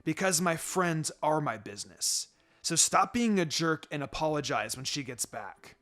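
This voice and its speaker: A man's voice: a little high, expressive, moderate pace, a bit bright, pitched below the performer's own voice. A man voicing an earnest, stubborn teenage boy ninja in a story reading, blunt and insistent. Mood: scolding. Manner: direct, insistent. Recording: music behind the voice.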